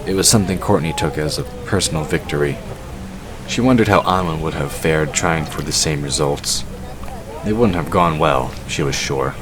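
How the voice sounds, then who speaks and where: A man's voice: rumbling low, moderate pace, crowd noise. A man narrating a scripted fantasy story for an audio drama. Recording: clearly noisy background. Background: ambient sound effects.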